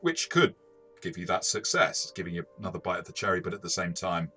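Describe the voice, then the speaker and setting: A man talking casually through a game review in a measured, explanatory way. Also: sits low, big pitch swings, sharp tone, soft background music. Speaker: tabletop game reviewer and game master.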